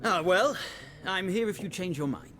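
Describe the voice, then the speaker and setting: Brief: snobbish scholar, higher and lively, crowd behind. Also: male voice, moderate pace. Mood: haughty. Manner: haughty, eloquent, condescending. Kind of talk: video game dialogue.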